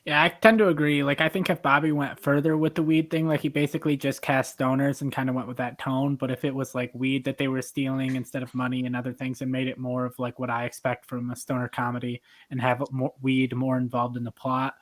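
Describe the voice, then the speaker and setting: Man, mid pitch, monotone, dry sound, casual podcast host and judge. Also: a little fast, hardly any pauses, no room sound. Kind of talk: casual chat.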